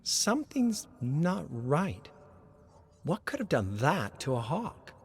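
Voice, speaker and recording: Man playing a young woman hiker, raised pitch, wary, uneasy, horror storybook character, slow. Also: sing-song, exaggerated voice. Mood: alarmed.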